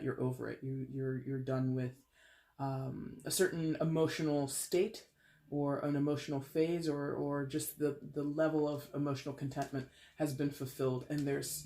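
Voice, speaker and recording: Stuffy-nosed tarot reader, chatty and rambling, mid-pitched, clearing a dry throat. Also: darkish tone. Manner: chatty, rambling, self-correcting with small laughs. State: congested with a head cold. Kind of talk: casual tarot reading to camera.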